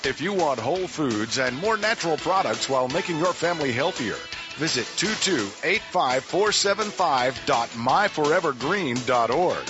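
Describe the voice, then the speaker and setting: A man's voice: a little high, animated, normal speed. A man reading a short scripted radio advertisement as announcer. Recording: dull lo-fi audio, music bed.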